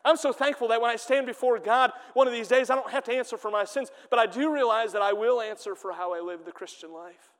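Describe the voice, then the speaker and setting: A man's voice: very high-pitched, fast talker, long reverb tail. A man preaching a sermon with fervent delivery, his voice swelling and dropping as he reads scripture in old Bible wording.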